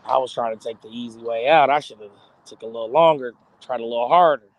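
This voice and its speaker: A man in his forties co-hosting a podcast, slangy, joking and animated in casual chat. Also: medium pitch, quick pace, muffled recording. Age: 40s. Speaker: podcast co-host.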